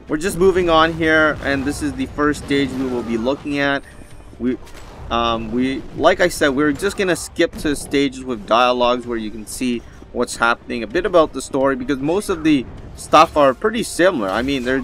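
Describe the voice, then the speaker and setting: A man's voice: medium pitch, fairly bright tone, a little hiss or hum. A man giving casual, rambling gameplay commentary as the host of a gaming video.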